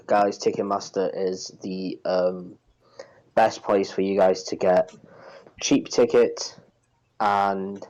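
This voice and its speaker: A man's voice: lowish voice, darkish tone, lo-fi sound. A man co-hosting a podcast, talking casually and reading out sponsor messages. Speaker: podcast co-host.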